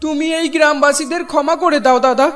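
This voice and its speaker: A woman's voice: high-pitched, fairly even intonation, fast talker, fairly bright tone, soft background music. A woman voicing the grieving young sister of a ghost in a cartoon, speaking tenderly and pleading.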